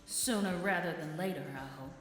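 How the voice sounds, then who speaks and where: A woman playing a brisk, friendly mother who works a café counter in an audio drama. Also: on the low side, soft background music, close to an everyday voice. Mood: bitter. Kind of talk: naturalistic audio drama dialogue.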